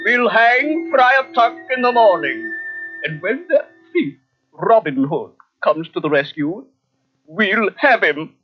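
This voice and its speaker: An actor playing a spoiled, pompous cartoon prince, villainous and petulant, with theatrical, drawn-out delivery. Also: male voice, high voice, expressive. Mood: scheming. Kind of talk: animated film character acting. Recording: muffled old cassette, music behind.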